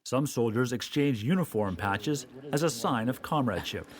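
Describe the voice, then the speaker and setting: Man narrating a documentary, steady and measured. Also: mid-range voice. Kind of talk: scripted narration.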